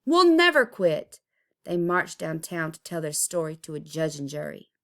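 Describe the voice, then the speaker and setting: A woman reading a children's picture book aloud expressively, with deliberate, dramatic emphasis. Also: low voice, little pitch movement. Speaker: storybook reader.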